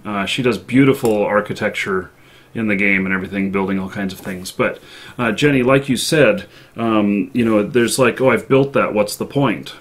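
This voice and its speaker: A man hosting a gaming livestream, chatting casually in a halting, hesitant way. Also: on the low side. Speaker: livestream show host.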